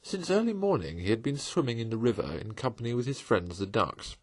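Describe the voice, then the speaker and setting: A man reading a classic children's storybook aloud in a lively way. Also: mid-range voice, brisk. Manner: lively, sings a verse in the story. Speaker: audiobook reader.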